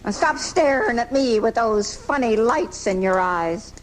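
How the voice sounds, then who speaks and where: An actress playing a feisty, fretful frail old American spinster who scolds in folksy, indignant style in a live radio drama. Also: female voice, a little high, lively intonation, a little slow. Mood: indignant, scolding. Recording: noisy amateur off-air radio recording from 1984.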